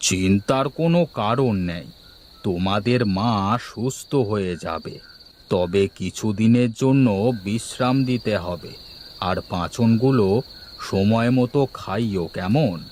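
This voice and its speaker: A man's voice: lowish voice, unhurried, darkish tone, a little hiss or hum, close to an everyday voice. A man voicing a kindly, reassuring village uncle in a cartoon story, with genial, emphatic delivery. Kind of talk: Bengali animated story dubbing.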